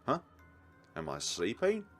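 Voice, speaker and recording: A bewildered young detective played by a man reading a game aloud fires out urgent questions and defiant retorts. The delivery is exclamatory and swings widely in pitch. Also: huge pitch swings, unhurried, fairly bright tone, soft background music, heavily acted. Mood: puzzled, frustrated.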